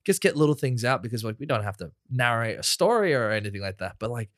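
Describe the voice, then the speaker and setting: A man co-hosting a casual podcast, laid-back and rambling, quick to laugh. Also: mid-range voice, expressive, a bit bright, dry recording, clean studio sound. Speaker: podcast co-host.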